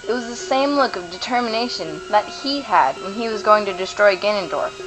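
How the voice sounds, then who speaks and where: A woman's voice: medium pitch, lively intonation, brisk, a bit bright, low-quality recording. A woman reading scripted narration for a fantasy radio play. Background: music.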